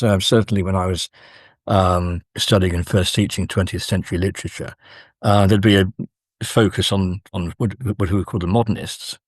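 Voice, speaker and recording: A man speaking as an academic interview guest, explaining in measured, halting phrases with frequent pauses. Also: low voice, breathy edge, dry recording, clean studio sound.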